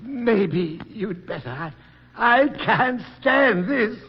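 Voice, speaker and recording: An actor playing an anxious, excitable male town councillor who exclaims and frets, in 1940s radio acting on a dull old broadcast. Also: sits high, takes it slowly, in a large hall, slight background noise, exaggerated voice. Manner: jittery, exclaiming.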